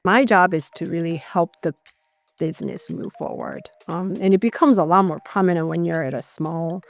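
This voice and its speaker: Middle-aged woman, slightly low voice, reflective. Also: very animated, moderate pace, gravelly, faint music behind. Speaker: interview guest, corporate legal executive. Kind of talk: conversational interview answers.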